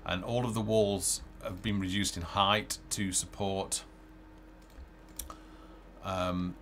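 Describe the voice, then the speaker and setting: A man's voice: sits low, flat delivery, slow, fairly bright tone, slight background noise. A middle-aged man giving casual live commentary on a game he develops, hesitant and thinking aloud as he plays, on a headset. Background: game music.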